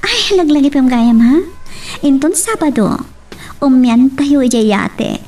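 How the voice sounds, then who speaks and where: A lovelorn young lady of means in a Filipino radio romance. Her voice sits very high and swings widely, with expressive, exclamatory Ilocano speech. She comes across as chatty and scheming. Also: unhurried. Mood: bright, probing. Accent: Ilocano, Philippines. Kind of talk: radio romance drama acting.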